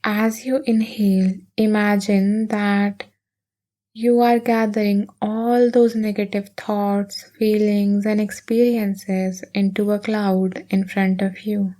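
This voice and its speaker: A woman leading a guided meditation in a soothing, gentle, unhurried manner with long pauses. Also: mid-range voice, flat delivery.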